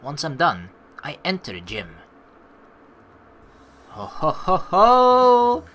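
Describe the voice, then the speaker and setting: A woman's voice: deep voice, wildly swooping pitch, slow pace, fairly bright tone, a little hiss or hum. A woman hosting a game playthrough, reading the text aloud and adding jokey, rambling casual commentary. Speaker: let's play host. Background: game music.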